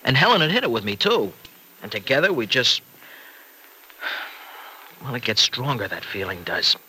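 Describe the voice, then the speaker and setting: An actor in an old-time radio drama playing a troubled, restless married farmer with a wayward past, speaking colloquially in halting, earnest confessions. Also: male voice, mid-range voice, big pitch swings, sharp tone, low-quality recording. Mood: restless, strained. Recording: vintage radio recording, faint noise.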